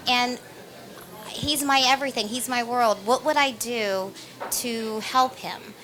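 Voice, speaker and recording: A woman's voice: on the high side, slow, a bit bright, a little hiss or hum. A woman, a stage actress, giving conversational interview answers in an earnest, emphatic manner.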